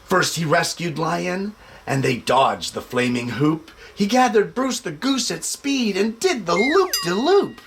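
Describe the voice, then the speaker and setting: A man hosting a show for families and reading a children's picture book aloud in an expressive, measured way. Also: high-pitched, vocal fry, slight background noise. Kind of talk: casual introduction, then expressive storybook read-aloud.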